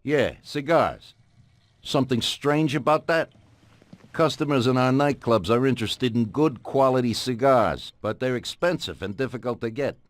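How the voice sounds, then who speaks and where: An actor playing a genial but domineering older mafia boss in a video game, with an expansive, patronizing manner. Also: male voice, medium pitch, moderate pace, no room sound, lightly acted. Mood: relaxed, persuasive. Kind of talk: video game cutscene acting.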